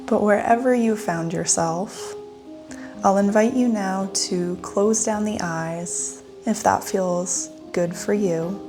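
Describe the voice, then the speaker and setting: A woman leading a guided meditation in a gentle, soothing manner with long pauses. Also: mid-range voice. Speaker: meditation guide, yoga teacher. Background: soft instrumental music.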